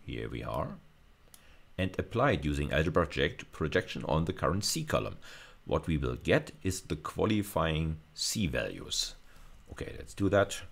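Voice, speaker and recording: Male lecturer, very low-pitched and gravelly, informal hands-on teaching delivery with thoughtful hesitations. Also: big pitch swings, normal speed. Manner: hesitant, thinking aloud while demonstrating. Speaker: university lecturer. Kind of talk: informal technical lecture, live demonstration.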